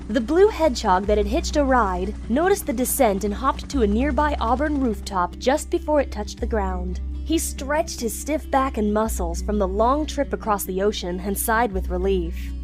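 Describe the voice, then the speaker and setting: A woman with a fairly high voice narrates an adventure story from a script, as the narrator of an audio drama. Music plays behind her. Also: a little mellow.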